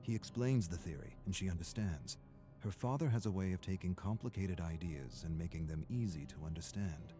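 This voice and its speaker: Man, low-pitched voice, measured dramatic story narration, prominent background music. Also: animated. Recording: music under the voice.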